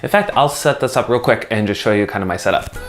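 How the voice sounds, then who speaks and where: A man's voice: lowish voice, fast, over loud music. A man talking casually to camera as a video host, explaining things in an informal, conversational way. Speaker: video host, music video filmmaker. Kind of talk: casual instructional vlog talk to camera.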